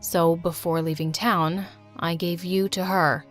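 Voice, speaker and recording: A woman's voice: on the low side, fairly even intonation, a little slow, soft background music, lightly acted. A woman voicing a grown mother who gave up her twins, speaking earnestly. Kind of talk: scripted storytelling dialogue.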